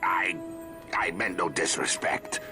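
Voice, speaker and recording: Gravelly, flustered, bowing merchant creature. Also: male voice, deep voice, steady tone, slow, music in the background. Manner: stammering, obsequious.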